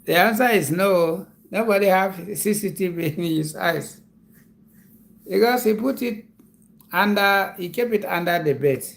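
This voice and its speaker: A man with a Nigerian accent hosting a comedy livestream, talking casually and explaining things slowly, repeating himself. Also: sits high, creaky, fairly bright tone. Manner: slow, repetitive, lecturing explanations.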